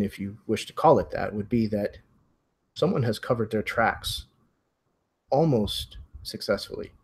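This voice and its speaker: A man running a tabletop mystery game as game master, narrating in measured, formal phrasing. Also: fairly low pitch, darkish tone, slightly rough.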